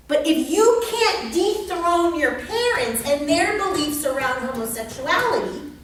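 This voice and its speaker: Female lecturer, high voice, blunt and emphatic, repeats for effect, recorded at a distance. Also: a bit bright. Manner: emphatic, probing, repeats phrases. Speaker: lecturer and group leader. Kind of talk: interactive psychology lecture. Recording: echoey room, distant mic, faint noise.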